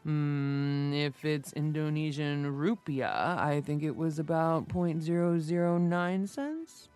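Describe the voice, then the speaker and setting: Lady narrator as an easygoing young guy, lowish voice, dry, calm story dialogue. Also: takes it slowly, clean tone, soft background music. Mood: dry, amused. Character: Justin, easygoing young man, dry and reassuring.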